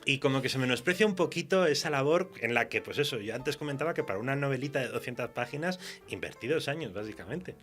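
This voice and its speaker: Male radio guest, Castilian accent, colloquial and halting delivery, faint music bed. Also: mid-range voice, animated, fairly bright tone. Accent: Castilian Spanish. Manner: colloquial, halting, searching for words. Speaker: horror fiction writer. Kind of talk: casual conversation.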